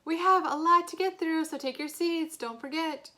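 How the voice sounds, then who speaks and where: Woman playing a loud, stern schoolteacher. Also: extremely high pitch, moderate pace, pitched above the performer's own voice. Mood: annoyed. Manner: loud, commanding calling-out. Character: stern, exasperated adult woman teacher herding children. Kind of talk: children's audiobook-style read-aloud.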